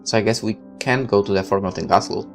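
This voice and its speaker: A man reading a visual novel aloud as a let's player, in expressive narration broken up by casual asides. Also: lowish voice, fast talker, gravelly, faint music behind.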